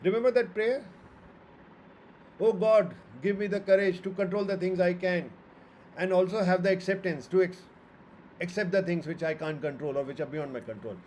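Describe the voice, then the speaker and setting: A man's voice: high voice, normal speed, a little mellow, a little hiss or hum, poor audio. A man with an Indian accent giving an informal, opinionated astrology lecture, making emphatic points and posing rhetorical questions. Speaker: astrologer and author.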